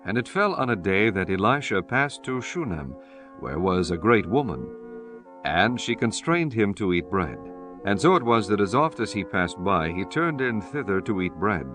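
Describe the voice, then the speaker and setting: Low male narrator, expressive pitch movement, solemn scripture storytelling, faint music underneath. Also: normal speed.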